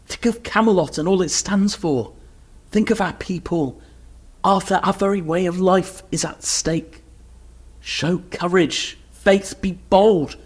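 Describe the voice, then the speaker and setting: An actor playing a stern, exasperated knight in a comic radio play, brusque, loyal and declamatory. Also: male voice, sits high, measured pace, slightly rough. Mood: rousing. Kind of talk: radio play comedy acting.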